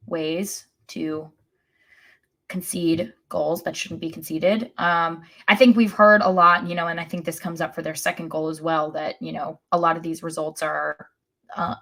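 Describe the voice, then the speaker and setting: Lowish-voiced woman discussing soccer. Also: a bit bright. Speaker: soccer podcast co-host. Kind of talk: casual match analysis chat.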